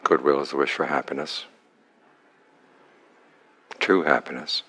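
A man with an exceptionally deep voice guides a meditation. He speaks as a teacher, calm and unhurried, setting out short instructions and leaving long pauses between them. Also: breathy edge.

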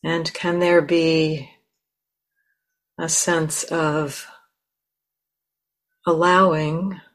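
Slow, gentle woman guiding meditation. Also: low voice, little pitch movement. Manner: gentle, unhurried, with long pauses. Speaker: meditation teacher. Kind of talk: guided meditation.

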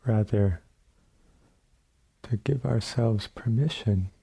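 Male meditation teacher, low-pitched and very unhurried, gentle delivery in short phrases with generous silences. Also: gravelly, slightly rough. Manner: gentle, sparse phrases with long pauses. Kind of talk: guided meditation. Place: meditation center hall.

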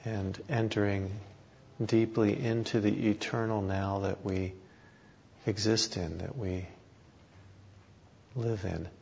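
A man leads a guided meditation in a low, creaky voice. His pitch barely moves, and he leaves long pauses between short phrases. The recording is slightly muffled. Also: steady tone, a little mellow. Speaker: meditation teacher. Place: meditation hall talk. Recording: dull recording with background hiss.

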